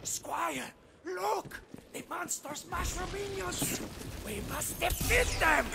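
A grandiose cartoon knight, hopelessly romantic and deluded. The voice is very high and gravelly, drawn out slowly with theatrical flourish. He declaims every phrase as if leading a heroic quest. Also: very noisy, heavily acted. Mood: urgent, heroic. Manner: bombastic, theatrical, florid.

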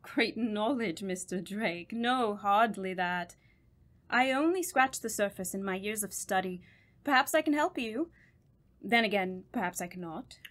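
A woman playing a grave, scholarly doctor of the supernatural in an audio drama, with a formal, deliberate and ominous manner. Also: mid-range voice, expressive, a bit bright. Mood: formal, measured.

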